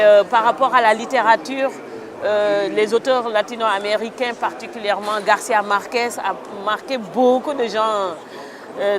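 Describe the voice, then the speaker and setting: A woman from Senegal, an author answering interview questions outdoors in a thoughtful, hesitant way with many fillers. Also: fairly high pitch. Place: outdoors in a town. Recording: crowd noise outdoors. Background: crowd chatter.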